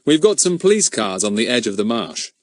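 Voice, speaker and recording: A man reading a storybook voicing a brisk, authoritative police chief inspector. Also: medium pitch, big pitch swings, no room sound, studio quality, natural voice. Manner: stentorian, brisk.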